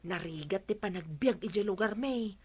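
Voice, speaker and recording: A woman's voice: mid-range voice, normal speed, dark tone, husky, close to an everyday voice. A woman playing a cheeky, deferential housemaid who jokes with her employer. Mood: chatty. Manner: playful, deferential. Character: cheeky longtime female housemaid, joking. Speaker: maid.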